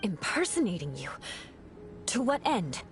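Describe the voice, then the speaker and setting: A woman's voice: mid-range voice, very animated, measured pace, over music. A woman voicing a haughty, stern young knight in a video game, with formal, imperious delivery. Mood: accusing, stern. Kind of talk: anime-style video game voice acting.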